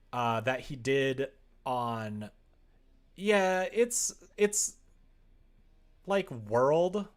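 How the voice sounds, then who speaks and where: A man chatting casually on a livestream while he works, thinking aloud in a rambling, hesitant way. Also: a little high. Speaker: livestreamer making a visual novel. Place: indoors at home.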